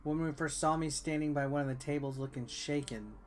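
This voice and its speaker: A man's voice: fairly high pitch, fairly even intonation, a little fast. A man reading visual novel text aloud as a game player, with offhand, wry asides. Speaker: video game reviewer and let's player. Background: game music.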